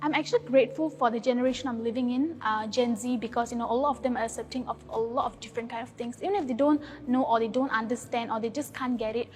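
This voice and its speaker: A nineteen-year-old woman speaks casually and cheerfully. Her voice sits a little high and she talks in an easy, upbeat way. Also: steady tone, fast, hardly any pauses. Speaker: nursing student. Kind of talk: panel discussion.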